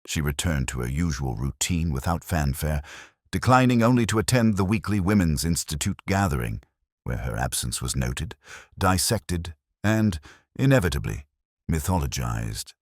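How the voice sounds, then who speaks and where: Very low male voice, measured literary storytelling, dry close sound, lively intonation. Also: no room sound. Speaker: narrator. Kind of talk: scripted mystery story narration.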